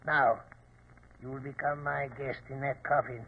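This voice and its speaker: An actor playing a theatrical, menacing criminal mastermind who savors each phrase in 1940s radio drama style. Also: male voice, medium pitch, level tone, moderate pace, close to an everyday voice. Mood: gloating threat. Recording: tinny, noisy old radio recording.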